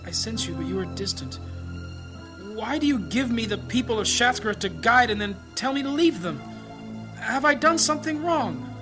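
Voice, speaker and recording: A high-pitched male priest in a fantasy audio drama, devout and deeply troubled. He prays in earnest, halting and pleading, with prominent music behind him. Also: lively intonation. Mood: pleading, hurt. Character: troubled small-town priest, devout husband and father. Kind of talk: audio drama acting, prayerful monologue.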